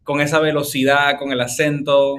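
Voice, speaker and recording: A man's voice: on the high side, level tone, moderate pace, a bit bright, slight background noise. A man with a Venezuelan accent, hosting a casual podcast chat and explaining his ideas with long everyday examples. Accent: Venezuelan Spanish. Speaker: podcast host.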